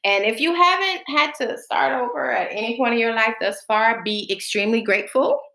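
Bright-voiced woman in an echoey room. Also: a little high, creaky. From born in the Bahamas, raised in Florida. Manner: colloquial and chatty, drawn-out and deliberate when affirming. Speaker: podcast host. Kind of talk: casual monologue with spoken affirmations.

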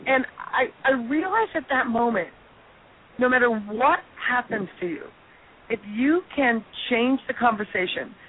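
A hoarse middle-aged woman with a fairly high voice tells a self-deprecating story in an animated way. She is a comedian calling in as a guest. Her voice comes through a thin, tinny phone line. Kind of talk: storytelling on a radio interview. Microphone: telephone.

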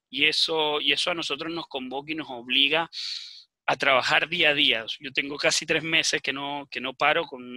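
A man with a Venezuelan accent speaks earnestly in an interview. His voice is very sharp and bright at a middling pitch, recorded dry and close. He speaks haltingly, often repeating a phrase to stress it. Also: no echo. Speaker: municipal official for older people's services.

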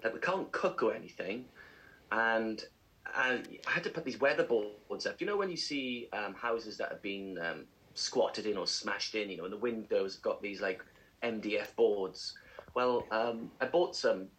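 A man co-hosting a casual podcast, chatty and animated, laughing often as he tells stories. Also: lowish voice, moderate pace, rough recording. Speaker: podcast co-host. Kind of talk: casual chat.

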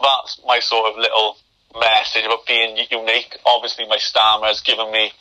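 A young man with a very bright, sharp voice talks as a podcast guest. He stammers, restarting and blocking on words, and the audio comes through a remote call. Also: on the low side, monotone, brisk, low-quality recording. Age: young adult. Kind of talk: interview conversation.

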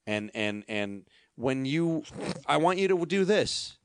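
Gravelly-voiced man telling meandering stories in loose podcast banter. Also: medium pitch, slow, no echo, clean studio sound. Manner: rambling, animated storytelling with many restarts. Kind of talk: casual podcast chat.

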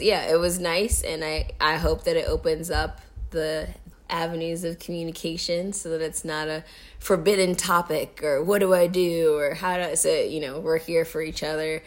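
Low-voiced chatty woman podcaster. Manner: chatty, upbeat, many fillers. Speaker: podcast co-host. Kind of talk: casual chat.

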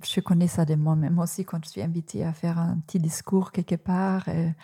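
A woman hosting a podcast interview, not a native French speaker, encouraging and quick to affirm. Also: fairly low pitch, level tone, breathy edge. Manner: warm, encouraging, with frequent affirmations. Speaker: host.

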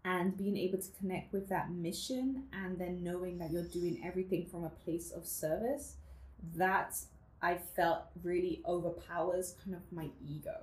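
A woman's voice: on the low side, little pitch movement, moderate pace, a little mellow, roomy sound, rough recording. A woman from the Netherlands in her twenties, a guest telling her life story in a casual interview, reflective, laughing often and using many fillers.

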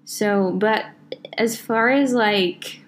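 A young woman rambles through a casual podcast chat, leaning on "like" as she thinks out loud. Her voice sits at a middle pitch, with faint background noise under it. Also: drawn-out words. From Southern United States. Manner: rambling, full of "like" fillers. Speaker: podcast co-host.

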